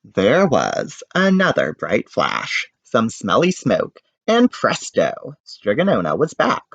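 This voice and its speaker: Very animated man reading a storybook. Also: medium pitch, takes it slowly, vocal fry, no room sound. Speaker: storybook reader. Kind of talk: expressive read-aloud narration for children.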